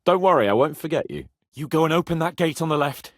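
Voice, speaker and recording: A man's voice: on the high side, expressive, fast talker, no room sound, studio quality, natural voice. An actor voicing a cocky, hot-tempered young medieval nobleman in a video game, with a swaggering, theatrical, haughty delivery. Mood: playful, teasing. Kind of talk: dramatic medieval game dialogue.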